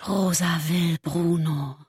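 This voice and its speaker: An actress playing a terse, deliberate lover in an absurdist radio play. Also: female voice, lowish voice, steady tone, darkish tone, breathy edge, dry recording, clean studio sound, full character voice. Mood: deliberate, soft. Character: Rosa, breathy lover in an absurd mini love drama.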